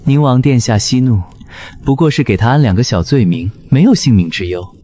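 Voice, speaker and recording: A man playing an overwrought, theatrical schemer in an animated fantasy period drama. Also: mid-range voice, fairly quick, no room sound, close to an everyday voice. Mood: placating.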